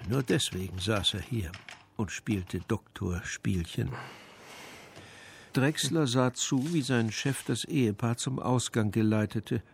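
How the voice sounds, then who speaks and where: A man narrating a radio crime play in a measured, matter-of-fact literary style. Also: medium pitch, vocal fry, slight background noise. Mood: dry, composed. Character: dry, composed narrator of a crime story.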